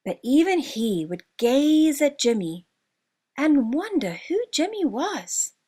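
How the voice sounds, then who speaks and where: Female children's story narrator: high voice, very lively intonation, expressive and deliberate scripted read. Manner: expressive, deliberate storybook delivery with drawn-out words. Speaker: author narrating own story.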